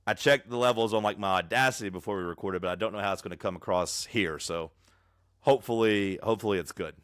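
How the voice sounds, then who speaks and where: Loud, teasing man on a podcast. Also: lowish voice, fast, smooth, no room sound, studio quality. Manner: loud, teasing, jumps in with bits. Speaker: podcast co-host. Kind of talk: casual banter. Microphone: own microphone, recorded remotely in an app.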